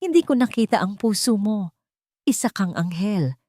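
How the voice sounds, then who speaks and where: A woman's voice: medium pitch, lively intonation, moderate pace, dark tone, no echo, clean studio sound, pitched above the performer's own voice, lightly acted. A woman voicing a remorseful wealthy older mother, apologetic and pleading, her voice breaking.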